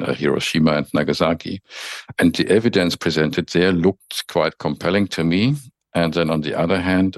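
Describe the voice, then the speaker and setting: A man from Germany with a German accent, a guest explaining his background in measured interview answers. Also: very deep voice, dry recording. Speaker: guest, author.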